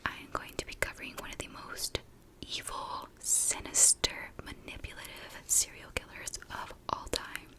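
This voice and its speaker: A woman speaking in a soft, breathy whisper, slowly and deliberately telling a story in ASMR style, sometimes softly repeating words. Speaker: ASMR YouTuber, host.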